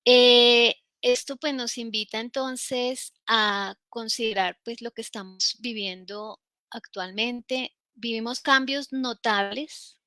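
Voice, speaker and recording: A woman professor giving a formal talk on a symposium panel, slow and measured, with many fillers and restarts. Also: on the high side, bright, forceful tone, no room sound.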